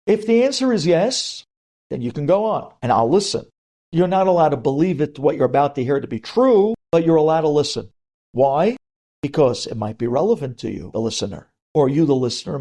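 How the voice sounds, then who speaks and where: Emphatic rabbi with a mellow, higher-set voice. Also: male voice. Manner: emphatic, didactic, repeats key phrases and poses questions. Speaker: rabbi giving religious class. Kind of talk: sermon-like religious lecture with anecdotes and examples.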